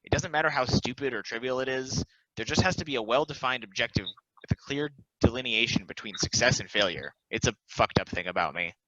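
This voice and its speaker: A man's voice: mid-range voice, fairly quick, sharp tone, no echo, natural voice. A man playing a self-deprecating, philosophical and restless immortal football player, rambling confidingly in a casual stage play reading. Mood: confiding, reflective. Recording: dry, slightly dull recording.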